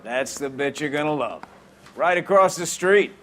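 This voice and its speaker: An actor playing a brisk 1940s American police superior in a video game, giving clipped orders in hard-boiled slang. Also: male voice, fairly high pitch, animated, fairly bright tone. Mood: brisk, wry.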